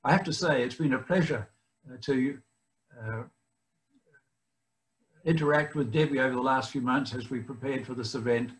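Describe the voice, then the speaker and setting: An older man with a New Zealand accent, giving a guest speech over a video call from his home library, often pausing with fillers. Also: medium pitch, low-quality recording. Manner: halting, many fillers and restarts. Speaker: guest speaker.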